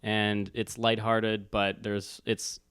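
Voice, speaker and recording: A man's voice: on the low side, completely flat delivery, slow pace, a bit bright, smooth, no echo. Young man, thoughtful, in a dry room. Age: 20s. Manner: thoughtful, tentative, hedging. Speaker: co-host. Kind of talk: casual book chat.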